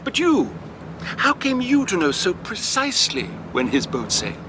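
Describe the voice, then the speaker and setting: A nosy, pompous clergyman in a radio drama, his highish voice swooping theatrically. His speech is formal and moralising, set against clear outdoor noise. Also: wildly swooping pitch, normal speed. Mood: coy, inquisitive. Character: prim, moralising English clergyman, effusive and nosy.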